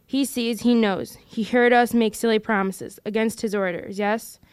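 A woman's voice: a little high, steady tone, normal speed, smooth, dry recording, lightly acted. A woman voicing a wretched, split-minded male creature who wheedles and argues with himself in an audiobook reading. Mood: wheedling. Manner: wheedling, hissing self-argument.

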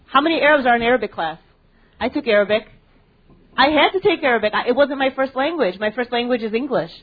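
An American woman in her young adult years speaks to an audience at a library panel, her voice sitting a bit high. She keeps asking questions of the room and trips over restarts and ums as she goes. The recording is narrow and tinny, like a phone line. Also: fast talker, husky. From United States. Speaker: panel moderator addressing a student audience. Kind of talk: public talk with audience interaction. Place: library event space.

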